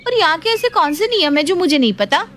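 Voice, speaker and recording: Young daughter-in-law, high voice, naive chatterbox with a childlike streak, put on by a woman storyteller. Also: sing-song, brisk, a bit bright, a little hiss or hum, heavily acted. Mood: puzzled, protesting. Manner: questioning, talkative.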